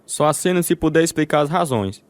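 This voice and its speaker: An actor with a Brazilian accent playing a devout, steadfast prisoner who tells his story in measured, earnest radio drama style. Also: a little high, animated, dry recording, natural voice. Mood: firm, devout. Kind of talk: dramatized first-person narration, radio drama.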